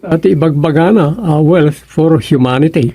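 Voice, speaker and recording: A man plays a talkative village schemer in a radio comedy, speaking colloquial Ilocano-accented lines. The voice sits a little high, husky and full of gravel. The sound is close and dry. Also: normal speed, warm tone, dry recording. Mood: gossipy. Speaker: radio drama actor. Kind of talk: comedic radio serial dialogue.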